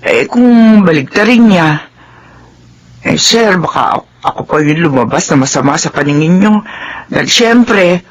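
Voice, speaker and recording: A woman's voice: fairly low pitch, expressive, measured pace, highs cut off, a little hiss or hum. An actress in a radio drama playing a middle-aged, long-serving housemaid and mother, emotional, pleading and deferential. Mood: hurt, aggrieved.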